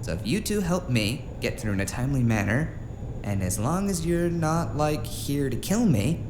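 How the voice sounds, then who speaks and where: A man's voice: fairly high pitch, big pitch swings, normal speed. A man playing a chatty, unflappable office worker in a noir audio drama, rambling with easygoing wry humor. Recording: roomy echo, clear background ambience noise.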